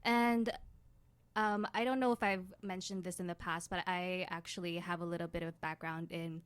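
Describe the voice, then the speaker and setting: Soft-spoken woman guest in a dry studio. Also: on the low side, steady tone, normal speed, clean tone, dry recording. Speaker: guest, musician and new programmer. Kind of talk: casual radio interview. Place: radio studio.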